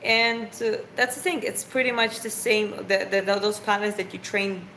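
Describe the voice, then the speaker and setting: A woman's voice: mid-range voice, fairly even intonation, normal speed, bright, forceful tone, reverberant, slight background noise, poor audio. A woman co-hosting a live stream Q&A, chatty and explaining with loose, slightly unusual phrasing. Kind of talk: casual Q&A chat.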